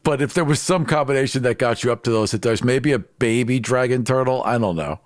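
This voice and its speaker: A man's voice: medium pitch, fairly quick, no echo, clean studio sound. A man chatting casually as a podcast host in a discussion of tabletop gaming.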